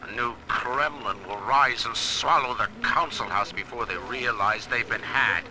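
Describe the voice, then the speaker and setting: An actor playing a stern, proud, suspicious ruler who talks in a grave, disdainful manner. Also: male voice, medium pitch, a little slow, close to an everyday voice. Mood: suspicious, indignant. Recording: noisy old audio-diary recording.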